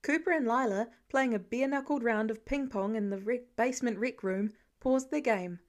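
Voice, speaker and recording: A woman's voice: on the high side, moderate pace. A woman reading scripted fiction aloud as an audiobook narrator.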